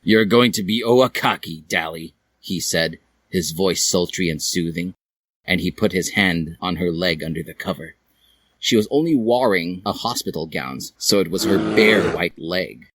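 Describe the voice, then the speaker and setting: A man's voice: low voice, moderate pace, fairly bright tone, no room sound. A man reading a comic fan fiction story aloud as a theatrical narrator.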